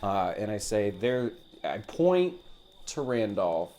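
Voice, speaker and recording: A man's voice: on the low side, sing-song, slow pace, dark tone. A man chatting casually as a player in a tabletop role-playing game, describing what his character does.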